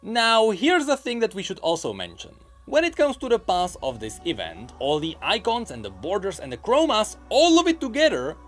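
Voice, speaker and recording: Lively, higher-pitched man presenting gaming news. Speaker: gaming news video host. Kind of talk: scripted news commentary.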